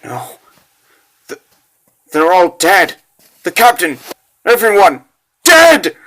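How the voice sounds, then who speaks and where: Panicked soldier, played by a man, high shrill voice, stammering, terrified, defiant, comic dub. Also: sing-song, slow pace, fairly bright tone, exaggerated voice. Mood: horrified.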